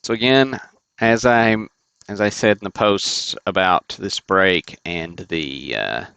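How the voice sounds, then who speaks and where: A man's voice: low-pitched, slow, creaky, pushed and bright, clean tone, no echo. A man hosting a sports card break livestream, chatting casually and thinking aloud with fillers and restarts.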